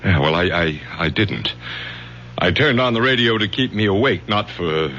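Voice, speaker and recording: A man's voice: lowish voice, lively intonation, moderate pace, sharp tone. A man playing an earnest, uneasy middle-aged professor in a radio drama, speaking formally with hesitant stammers. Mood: uneasy, explaining. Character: earnest, uneasy professor falsely confessing, a dutiful father. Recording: dull, hissy old radio broadcast.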